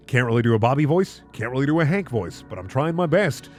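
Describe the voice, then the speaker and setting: Guy reading bad fanfic for laughs, swoopy pitch, sarcastic asides, faint music behind. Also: expressive, fast talker, a little mellow. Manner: incredulous, sardonic asides. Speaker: host reading bad fan fiction. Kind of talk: comedic narration with sarcastic commentary.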